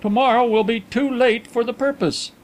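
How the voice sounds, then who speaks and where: Brief: male reader doing a precise, anxious inquiry agent, pushy and high, faint hiss behind. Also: steady tone, moderate pace. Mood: desperate. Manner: fretful, insistent, raised high. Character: fussy anxious male detective, middle-aged, precise.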